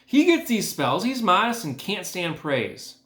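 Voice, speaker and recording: A man voicing a blustery, excitable farmer from a storybook, hollering his lines. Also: high-pitched, unhurried, voice pushed up, heavily acted. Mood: anxious, defensive. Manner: hollering, exclamatory. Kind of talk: storybook character voice. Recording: echoey room.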